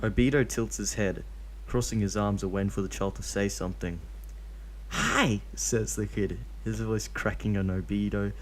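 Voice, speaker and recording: A man reading fan fiction aloud in a steady, plain way, breaking off now and then for dry joking asides. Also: lowish voice, moderate pace, hardly any pauses, slight background noise, low-quality recording.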